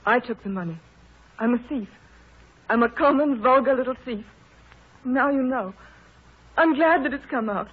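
A woman's voice: sits high, normal speed, long pauses, breathy edge. An actress playing a distraught, proud young lady, defiant and melodramatic, in a 1930s radio drama. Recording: muffled, band-limited 1930s radio broadcast.

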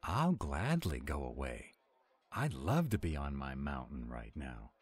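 A man acting a very deep-voiced grumpy were-dragon. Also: sing-song, a little slow, breathy edge, exaggerated voice. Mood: grumpy, reluctant. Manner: grumbling, reluctant, defensive. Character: grumpy, self-doubting male were-dragon cook, gruff but tender. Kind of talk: roleplay audio drama.

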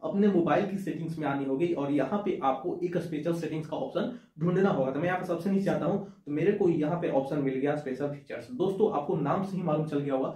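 A man's voice: fairly high pitch, quick pace, few pauses, mellow. A young man presenting a phone tutorial to camera, explaining step by step and repeating his points. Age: young adult. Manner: explanatory, repeats points, addresses viewers as friends. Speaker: tech tutorial host. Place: small indoor room with shelves. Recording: echoey small room.

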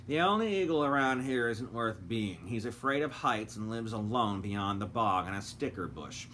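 A man's voice: fairly low pitch, lively intonation, normal speed, sharp tone, a little hiss or hum. A man voicing a cocky, know-it-all bumblebee who talks brashly. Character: cocky bumblebee, streetwise gambler.

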